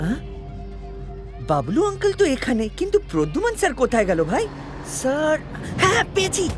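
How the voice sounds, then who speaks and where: An excitable, bumbling cartoon sidekick detective. The voice sits very high and swings in pitch. He chatters in exclamations, with music playing behind. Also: fairly quick, exaggerated voice. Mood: flustered. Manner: exclamatory, chatty, full of interjections. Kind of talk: animated cartoon dialogue.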